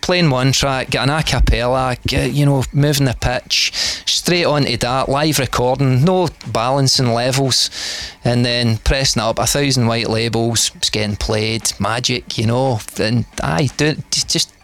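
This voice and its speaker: A middle-aged Scottish man, a DJ and producer, chatting in an interview in a relaxed, rambling way with lots of fillers and restarts. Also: medium pitch, unhurried, hardly any pauses. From Scotland. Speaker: DJ and producer guest. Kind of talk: casual interview chat.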